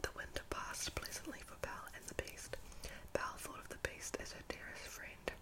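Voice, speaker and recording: A woman reading a storybook as ASMR in a breathy, unvoiced whisper very close to the microphone, now and then stumbling and repeating words. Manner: halting read with stumbles and repeats.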